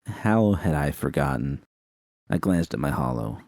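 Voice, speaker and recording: A man narrating a first-person fan story in a steady, unhurried reading. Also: extremely low pitch, creaky, no room sound, clean studio sound. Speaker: fan fiction reader.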